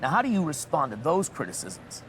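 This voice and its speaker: An actor playing a persistent press reporter in a sports game, asking challenging questions with a slightly stumbling, hesitant delivery. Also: male voice, fairly high pitch, wildly swooping pitch, a little fast, a bit bright. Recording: noisy background ambience.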